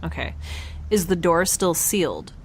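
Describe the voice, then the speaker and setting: Bubbly, animated, rambling young spacefarer played by a woman. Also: lowish voice, a little slow, a little hiss or hum. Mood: upbeat, casual. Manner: rambling, bubbly, awkward, informal. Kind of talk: science fiction audio drama.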